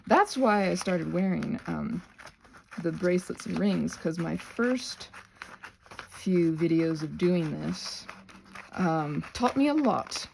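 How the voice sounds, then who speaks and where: A woman with a lively, swooping voice and some gravel in it chats casually as a craft channel host. She is playful and self-deprecating, wandering between tangents as she works with her hands. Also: on the low side, slow, a little hiss or hum, rough recording. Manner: playful, self-deprecating, often giggly while talking; digressive, thinking aloud while working with hands. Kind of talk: casual rambling craft chat.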